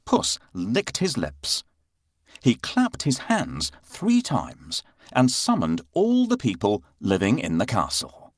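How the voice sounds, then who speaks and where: A man narrating a scripted children's bedtime fairy tale with expressive, dramatic delivery. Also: medium pitch, takes it slowly, slight background noise. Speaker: storyteller narrator.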